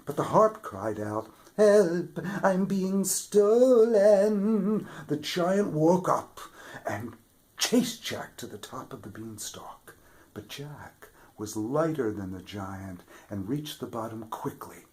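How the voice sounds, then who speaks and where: A man reading a children's fairy tale aloud with expressive delivery, dropping to whispers for suspense. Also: fairly low pitch, slow pace. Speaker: storybook reader, theatre regular. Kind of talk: children's story read-aloud.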